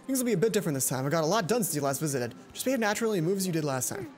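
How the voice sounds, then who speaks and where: A woman's voice: lowish voice, very animated, rapid-fire, darkish tone. A woman voicing a businesslike schoolboy inventor in a visual novel, giving matter-of-fact instructions. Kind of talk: visual novel character reading. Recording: music behind the voice.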